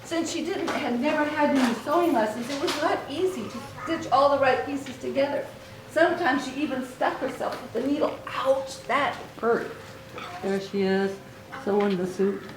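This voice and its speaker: A woman reading a story aloud to young children in an expressive way, with little asides to her listeners. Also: high voice, moderate pace. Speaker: children's author reading own book aloud. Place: book signing event in an echoey room. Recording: echoey room recording with clear noise.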